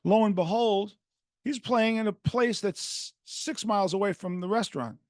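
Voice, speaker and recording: An older man with a New York accent, hosting a podcast and telling rambling stories with animated quoted voices. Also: high voice, moderate pace, no room sound, studio quality. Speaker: podcast host. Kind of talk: casual podcast chat.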